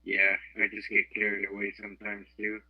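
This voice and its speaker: A man's voice: fairly low pitch, monotone, brisk, husky, dry recording. A young man calling in to a radio club net, chatting casually and self-effacingly over a muffled walkie-talkie transmission. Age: young adult.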